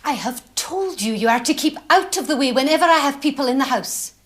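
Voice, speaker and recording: An actress playing a stern, scolding housekeeper of a country house in a radio drama. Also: female voice, sits high, lively intonation, a little fast, noisy background. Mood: irritated.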